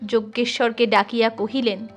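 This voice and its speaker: A woman reading a classic short story as a storyteller, in an expressive, measured and dramatic scripted narration. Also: fairly high pitch, soft background music. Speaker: storyteller reading literary fiction.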